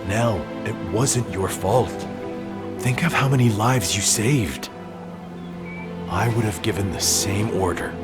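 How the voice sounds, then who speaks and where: An actor playing a gentle, loyal soldier in a video game, hesitant and earnest in his delivery. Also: fairly low pitch, slow pace, loud music behind the voice. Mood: earnest, reassuring. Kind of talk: dramatic video game acting.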